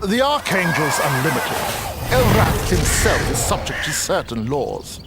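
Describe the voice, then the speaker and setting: An actor playing a sly old necromancer in a video game, mockingly courteous and gleefully macabre, with a theatrical drawl. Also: male voice, a little high, big pitch swings, a little slow, over loud music. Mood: persuasive, smooth. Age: older. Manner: theatrical, drawling, mock-sweet. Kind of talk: video game cutscene acting.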